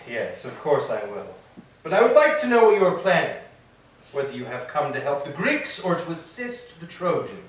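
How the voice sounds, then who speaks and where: A man's voice: on the high side, very animated, normal speed. A man playing a grand, commanding sea god, speaking formally in a staged reading of Greek tragedy. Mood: formal, questioning. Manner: formal, declamatory. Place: echoing church interior. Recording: very echoey hall, distant mic.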